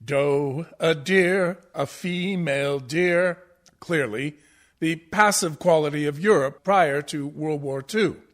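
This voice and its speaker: An actor playing a pompous, condescending theatre professor who lectures pedantically in a comic radio play. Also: male voice, fairly high pitch, slow pace. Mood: smug, explaining. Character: pompous, condescending male musical-theatre professor, absurdly over-analytical. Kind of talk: comic radio play acting as interview guest.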